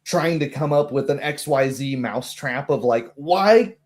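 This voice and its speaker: A man's voice: medium pitch, unhurried. Man chatting casually, rambling through retellings. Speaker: game master. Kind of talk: casual chat about a tabletop game session.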